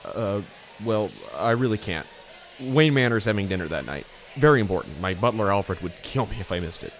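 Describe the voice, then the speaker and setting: A man's voice: lowish voice, expressive, brisk, faint music behind. A man playing a reserved, polite, brooding rich teenage boy in an audio drama, hesitant and understated. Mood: awkward.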